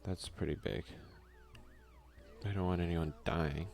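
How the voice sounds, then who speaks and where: Man, deep voice, gravelly fry, soft-spoken casual gaming commentary, muttered asides. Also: fairly even intonation, a little slow, faint music behind. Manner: reads game text aloud, mutters offhand asides. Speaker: let's play host.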